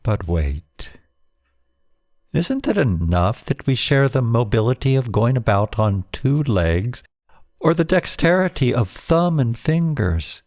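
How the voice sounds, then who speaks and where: Man, poet reciting own verse, very slow pace, solemn, deliberate phrasing, close dry sound. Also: medium pitch, very animated, darkish tone, no echo. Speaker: poet reading own poem. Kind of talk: poetry recitation, scripted.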